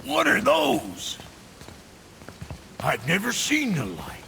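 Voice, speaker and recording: An actor playing a brash, excitable craftsman in a video game, blunt and colourful, with a creaky voice. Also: sits high, slow, a little hiss or hum, exaggerated voice. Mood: startled, amazed. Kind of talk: video game character acting.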